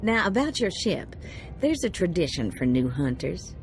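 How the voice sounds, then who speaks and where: An actress playing an upbeat, streetwise female handler who briefs a hunter in a brisk, breezy way. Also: on the low side, very animated, soft background music, low-quality recording.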